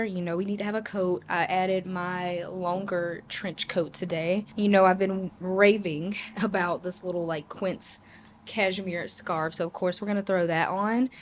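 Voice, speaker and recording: A young woman vlogging in a chatty, casual way, her voice raspy from a sore throat, laughing often and trailing off with fillers. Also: fairly low pitch, a little hiss or hum. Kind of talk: casual vlog chat.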